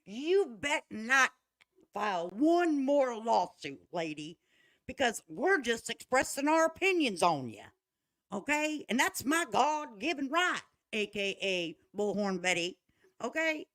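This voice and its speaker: Female reaction streamer, casual and folksy, mocking delivery with very lively intonation. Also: fairly high pitch, measured pace. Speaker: livestream host. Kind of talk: reaction livestream commentary, casual chat.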